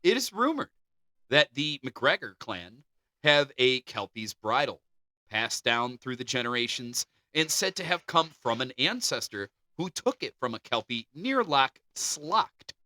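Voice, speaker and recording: A man's voice: mid-range voice, measured pace, no room sound, poor audio. A man co-hosting a podcast, reading folklore aloud and joking between the passages. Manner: animated, jokey. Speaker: podcast co-host.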